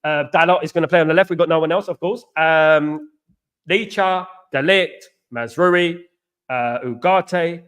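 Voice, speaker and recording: Male stream host, high voice, loose repetitive chat reading out viewer comments. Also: clear, resonant tone. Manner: chatty, repeats himself, shouts out viewers. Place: China.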